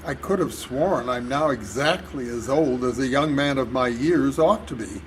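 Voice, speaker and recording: An older man giving a solemn, deliberate, declamatory reading of poetry at a live club performance. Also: mid-range voice. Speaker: reader at a club reader's theater. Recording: noisy live hall recording.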